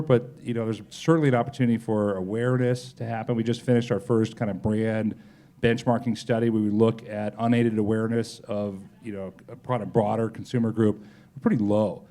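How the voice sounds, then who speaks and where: A man with a low, relaxed, mellow voice answers questions as an executive at a live talk. He speaks conversationally, softening his points with small filler phrases. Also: a little fast. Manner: conversational, hedging with kinda and you know. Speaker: company executive being interviewed. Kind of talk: live panel interview answers. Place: on stage at a live pub talk event.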